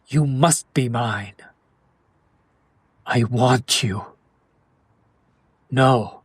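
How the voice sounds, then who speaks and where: Male actor for ancient noble vampire, romantic audio roleplay: brooding, formal, breathy husky voice, very unhurried, hushed dramatic pauses. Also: mid-range voice, dry recording. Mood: yearning. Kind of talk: intimate audio roleplay monologue addressed to the listener.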